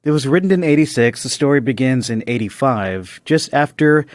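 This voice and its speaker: A man speaks as a podcast co-host, chatting casually as he explains and discusses stories. His pitch is average for a man. The recording is dry, without room echo. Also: normal speed. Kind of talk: casual discussion with story summary.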